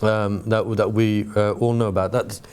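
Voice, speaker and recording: A man hosting an interview, asking measured, thoughtful questions with occasional fillers. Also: fairly low pitch, little pitch movement, a little mellow, clear, resonant tone. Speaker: interviewer host.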